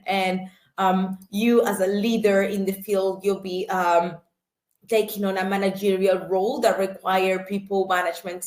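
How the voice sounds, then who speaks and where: Casting: female recruiter, halting delivery with lots of "um"s, roomy echo. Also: medium pitch. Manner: halting, many fillers and restarts. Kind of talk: online presentation.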